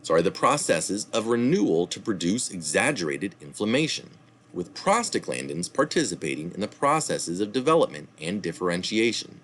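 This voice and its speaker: A man's voice: low voice, big pitch swings, moderate pace, a bit bright, a little hiss or hum. A man reading a health article aloud, now and then correcting himself and adding short asides. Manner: reads steadily, with small slips and asides.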